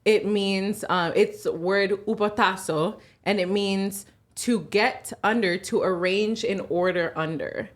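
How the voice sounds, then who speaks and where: A woman of thirty, co-hosting a casual podcast chat, animated and quick to laugh. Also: medium pitch. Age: 30s. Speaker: podcast co-host.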